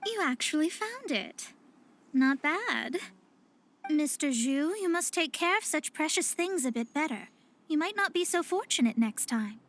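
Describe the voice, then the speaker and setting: A woman's voice: high voice, big pitch swings, a little slow, a little hiss or hum. A woman voicing a proud, lofty young astrologer mage in an anime-style video game. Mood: cool, admonishing. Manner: lofty, lecturing.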